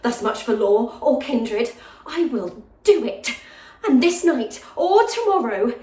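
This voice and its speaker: A woman's voice: on the high side, animated, takes it slowly, mellow, voice pushed up. A lovestruck young maid from classical theatre, acted by a woman, speaks in a raised, emotional voice full of exclamation. She is breathless and impulsive, in heightened Shakespearean verse style. The room around her is echoey. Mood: resolved. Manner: emotional, exclaiming, with gasps and sighs. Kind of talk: classical stage monologue, Shakespearean acting.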